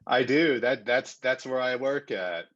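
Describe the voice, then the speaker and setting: A man with a mid-pitched voice answers questions in a measured, explanatory way, like a radio program director. He often repeats a few words as he goes, and the sound is dull and lo-fi. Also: a bit bright.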